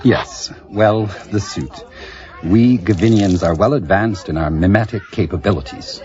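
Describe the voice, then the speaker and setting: An actor playing a polite, bewildered alien visitor, formal and earnest, in an audio drama comedy. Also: male voice, fairly low pitch, measured pace, darkish tone, natural voice. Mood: courteous. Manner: formal, earnest, hesitant phrasing. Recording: muffled audio with music and party crowd. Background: music, party chatter.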